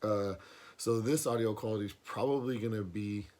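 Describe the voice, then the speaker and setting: Casting: hoarse man with a lowish voice, easygoing rambler, camera-mic sound. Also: moderate pace, darkish tone. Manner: rambling, many fillers and restarts. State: hoarse, losing his voice. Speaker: pipe smoking vlogger. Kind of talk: casual box opening vlog. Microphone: camera mic, no external mic.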